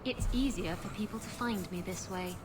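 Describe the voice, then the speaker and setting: An actress playing a bold, teasing pirate captain in a video game, flirtatious and sultry. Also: female voice, medium pitch, normal speed, noisy background, natural voice. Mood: confiding.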